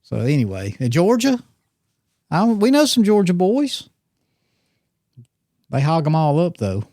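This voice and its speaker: A man's voice: a little high, wildly swooping pitch, a little slow, warm tone, dry recording, clean studio sound. A man around sixty, co-hosting a hunting podcast in casual, rambling, teasing chat. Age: 60s. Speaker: podcast co-host.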